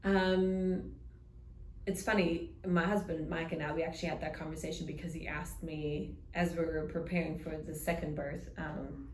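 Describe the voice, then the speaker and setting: A woman's voice: low voice, flat delivery, moderate pace. Woman in her 30s, German-born, Kiwi-tinged accent, chatty storyteller, echoey room, faint noise. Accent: German with New Zealand tinge. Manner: warm storytelling, many ums, laughs. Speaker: guest. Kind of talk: casual interview chat.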